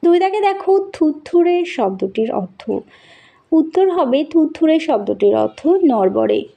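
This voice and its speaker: Woman teacher for children's lesson narration: high voice, very lively intonation, instructive and deliberate. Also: gravelly, lo-fi sound. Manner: deliberate, instructive, addressing young pupils directly. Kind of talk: scripted lesson narration with question-and-answer and poem recitation.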